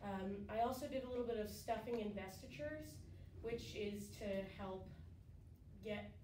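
A young woman presents a class speech, halting and filling pauses with ums. She is a bit under the weather, so her voice sits lower than usual. The sound is picked up from the back of a classroom, roomy and hissy. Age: young adult. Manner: hesitant, many ums and restarts. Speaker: student giving a class speech. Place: classroom with conference tables, indoors. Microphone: far camera at the back of the room. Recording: roomy, distant camera audio with background hiss.

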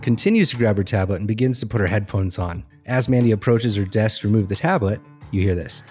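Man, fairly low voice, narrator, second-person training story, scene-setting, faint music. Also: quick pace, darkish tone.

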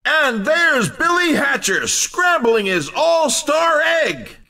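An actor voicing a hyped-up, playful race commentator in a video game, with theatrical, exclamatory delivery. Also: male voice, very high voice, very animated, slow, gravelly, bright, forceful tone, heavily acted. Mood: gleeful hype. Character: male hyped-up, playful arcade racing game announcer.

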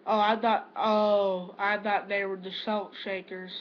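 A man's voice: high-pitched, little pitch movement, measured pace. Teenage boy, muffled noisy webcam sound. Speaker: prank caller. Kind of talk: prank phone call, casual improvised talk. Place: small room at home, indoors. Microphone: webcam or laptop microphone. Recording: dull, noisy webcam microphone.

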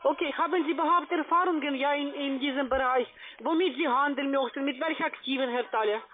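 A woman with a foreign accent, not a native speaker, giving a pushy phone sales pitch as a call-center agent, often tagging on a questioning word. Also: a little fast. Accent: foreign accent in German. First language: not German. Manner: pushy, repeatedly tagging phrases with "ja". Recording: tinny narrowband phone line.

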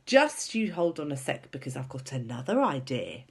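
A woman's voice: rumbling low, big pitch swings, normal speed, vocal fry, breathy edge, exaggerated voice. A woman voicing a young girl, a determined and impassioned storybook heroine who speaks emphatically. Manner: emphatic, exclamatory.

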